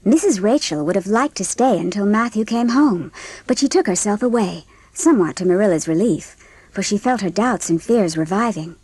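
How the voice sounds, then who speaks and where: A woman's voice: mid-range voice, lively intonation, normal speed, a little mellow, slightly rough, dry recording, rough recording. A woman narrating a dramatised audiobook from a script, telling the story in literary prose.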